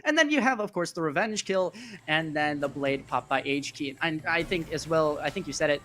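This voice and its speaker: An esports commentator calling live play-by-play in a chatty way that gets animated with the action. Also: mid-range voice, fairly quick.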